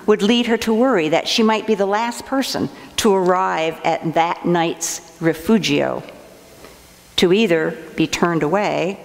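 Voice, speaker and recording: Older woman preaching slowly with gravelly voice. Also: on the low side. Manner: measured, deliberate preaching at a lectern. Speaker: assistant pastor. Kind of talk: sermon. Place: church sanctuary, indoors. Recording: long reverb of a church sanctuary.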